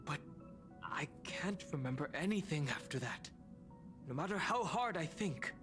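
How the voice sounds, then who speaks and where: A performer playing a gentle, puzzled young traveler in a video game, hesitant and wondering. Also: medium pitch, lively intonation, measured pace, close to an everyday voice. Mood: dazed, troubled. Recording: music clearly behind voice.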